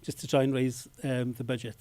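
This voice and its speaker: A man, a filmmaker, answering questions at a festival Q&A with many pauses, fillers and restarts. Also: medium pitch, level tone, warm tone, no room sound. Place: cinema Q&A stage.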